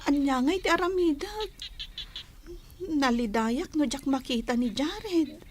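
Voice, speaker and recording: A woman's voice: high-pitched, animated, a little slow, a little hiss or hum. A woman acting a lovestruck young daughter, timid before her parents, in an emotional radio drama style. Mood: wistful, lovestruck.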